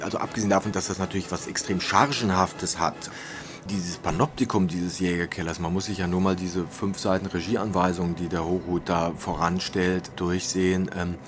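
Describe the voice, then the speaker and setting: A man's voice: sits low, fairly even intonation, a little fast, over music. A man giving casual, spontaneous commentary as a theatre professional. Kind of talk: casual spoken commentary with asides. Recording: clear background noise, ambience.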